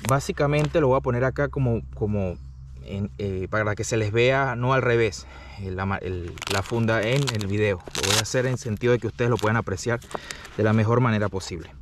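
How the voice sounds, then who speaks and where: A man giving a casual, explanatory hands-on product review, often pausing to check the listener follows. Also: lowish voice. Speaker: product reviewer, channel host. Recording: distant mic, faint background noise.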